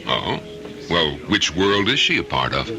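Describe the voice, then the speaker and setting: An actor playing a steady, protective middle-aged friend in an old-time radio drama. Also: male voice, low-pitched, a little slow, music in the background, heavily acted. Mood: genial, probing.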